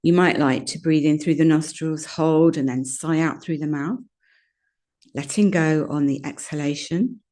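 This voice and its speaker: Female meditation guide, low-pitched and soft-edged, mellow tone, gentle soothing delivery with unhurried pauses. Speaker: yoga nidra meditation guide.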